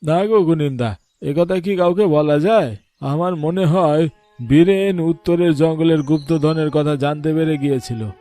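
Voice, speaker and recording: A man's voice: fairly high pitch, normal speed, dark tone, faint music behind, pitched above the performer's own voice, lightly acted. A man voicing an anxious village man in a cartoon story, speaking urgently and deferentially to his elder.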